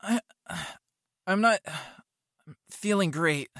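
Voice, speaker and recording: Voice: mid-range voice, extremely slow, fairly bright tone, no echo, clean studio sound. A performer playing an injured, nervous hotel worker in an audio drama, hesitant and stammering. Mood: pained, weak.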